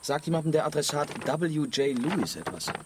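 A man's voice: on the high side, expressive, fairly quick, noisy background, lightly acted. A man playing a young journalist talking with his team in a radio drama. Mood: intent. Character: young male journalist among an expedition team.

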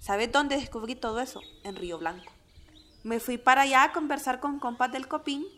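An actress playing a friendly, expressive woman activist in a radio drama, talking in a reassuring, conversational way. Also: high-pitched, moderate pace. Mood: friendly, earnest. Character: Mercedes, friendly woman activist patiently explaining to comrades. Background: music.

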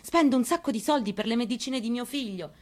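A woman voicing a fretful middle-aged male neighbour who complains about money, in a higher, whining tone. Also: quick pace, lightly acted.

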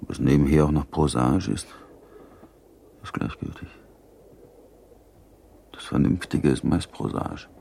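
A middle-aged Prussian baron in a radio drama, brooding and bound by duty. His voice is very deep and dark with a creaky edge, and he weighs each phrase deliberately. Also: lively intonation, a little hiss or hum, full character voice. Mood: brooding, reasoning. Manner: measured, reflective, deliberate. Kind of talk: German radio play acting, interior monologue.

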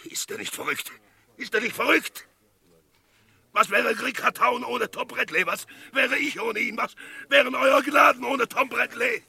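An actor playing a fervent, gravelly friend of a condemned man in a German Western radio play, dramatic and given to repeating himself. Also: very high-pitched, bright, forceful tone, exaggerated voice. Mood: agitated, pleading. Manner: slow, halting, dramatic storytelling with repetitions.

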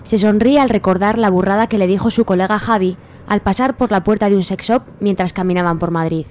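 A woman's voice: medium pitch, brisk, a little mellow, a little hiss or hum. A woman giving scripted narration for a radio serial.

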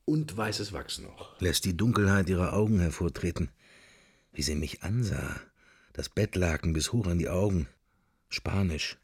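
A man's voice: low-pitched, measured pace, breathy edge, no echo, studio quality, exaggerated voice. An actor playing a middle-aged man musing wryly to himself in clipped, wandering thoughts in a literary radio play. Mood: dreamy musing.

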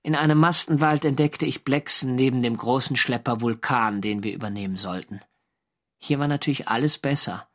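An actor playing a wartime sailor narrating his diary in a measured, dryly wry way for a radio drama. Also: mid-range voice, a bit bright, faint music behind, natural voice.

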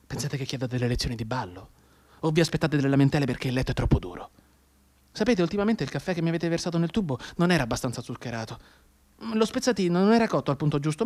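An actor playing a maimed, bedridden war veteran in a theatrical radio monologue, intense and declamatory, anguished and bitterly sardonic. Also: male voice, on the high side, slightly rough. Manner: intense, declamatory, halting between hushed and cried-out delivery.

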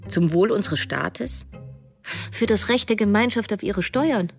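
An actress as a troubled, self-questioning woman, telling her story as first-person narrator in an introspective, deliberate way. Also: medium pitch, lively intonation, vocal fry, soft background music. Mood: brooding. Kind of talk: scripted radio drama narration.

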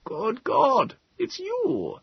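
A man's voice: very high voice, wildly swooping pitch, drawn-out words, gravelly, husky, pitched above the performer's own voice, heavily acted. A man voicing a frail old man in his late seventies, with a thin, feeble voice, in a storybook reading. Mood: surprised. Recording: dull, dry lo-fi sound.

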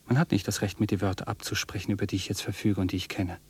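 A man's voice: lowish voice, flat delivery, fast, a little mellow, breathy edge. An actor playing an obsessive, defiant, imperious rich man in a German radio drama, declaiming and repeating his commands.

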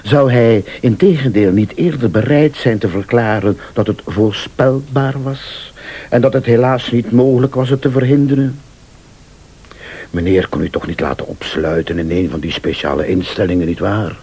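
An actor playing a shrewd, insistent accuser in a radio thriller, speaking formally and with deliberate insinuation. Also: male voice, lowish voice, dark tone, slightly rough. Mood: grave, insinuating. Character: male late-night accuser, shrewd, insistent, laying out a murder plot. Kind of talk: Dutch-language radio thriller acting.

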